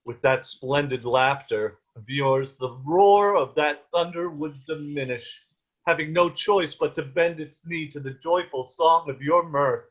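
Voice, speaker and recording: A man's voice: on the high side, unhurried, fairly bright tone. A man playing a stern, protective peasant father in a table read of a period play, with ornate old-fashioned diction, over a video call. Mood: tender, nostalgic. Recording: dull, lo-fi online call.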